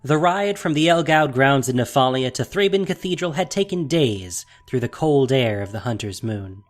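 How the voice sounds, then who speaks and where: A man's voice: medium pitch, animated, moderate pace, soft background music. A man reading scripted fantasy fiction as a dramatic narrator.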